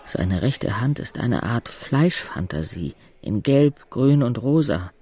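A man's voice: medium pitch, lively intonation, slow, warm tone, breathy edge, dry recording. An actor playing a wry, observant teenage boy who narrates an audio drama in a dry, laconic way.

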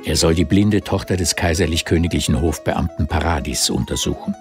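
A man's voice: deep voice, a little fast, faint music behind. A man narrating a literary radio drama in scripted, present-tense prose.

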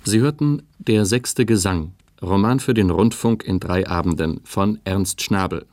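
A man's voice: deep voice, measured pace, darkish tone, dry recording, studio quality. A man announcing radio programme credits in a formal, sober 1950s broadcast style with precise enunciation.

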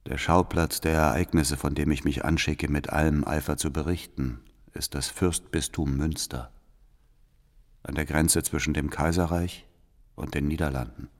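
Very deep-voiced, calculating church spy. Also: male voice, steady tone. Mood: deferential, watchful. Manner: formal, deliberate, deferential. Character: devout, calculating male church spy writing reports. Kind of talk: radio drama letter monologue. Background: faint music.

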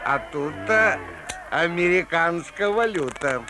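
An actor playing a sly, folksy storyteller, narrating a fairy tale in rhyming, theatrical style. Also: male voice, a little high, lively intonation, slow pace, noisy background.

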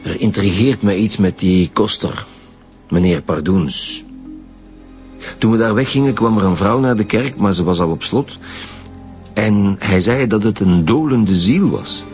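An actor with a Flemish accent playing a dry, pensive police commissioner in a radio drama, with deliberate delivery. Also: male voice, on the low side, lively intonation, darkish tone. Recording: faint music behind the dialogue.

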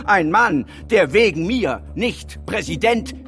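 A man's voice: sits high, lively intonation, takes it slowly, fairly bright tone, full character voice. An actor playing a domineering PR consultancy boss in a satirical radio drama, pompous and rhetorical as he briefs his team. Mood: scornful, incensed. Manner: pompous, rhetorical, piles up clauses. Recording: music behind the voice.

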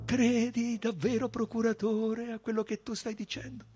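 A dignified high priest of Judea from a storybook, played by a man reading aloud. The voice is pitched high, well above an everyday male register. He is solemn and deliberate, with fervent defiance in his manner. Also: little pitch movement, darkish tone, husky, faint music behind. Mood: fervent, indignant.

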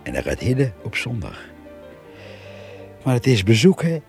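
An actor playing a concerned, plain-spoken narrator in a radio drama, talking in a reflective, colloquial monologue. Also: male voice, medium pitch, huge pitch swings, a little slow, darkish tone. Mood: worried, reflective. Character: concerned, plain-spoken male narrator telling of an old neighbour. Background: music.

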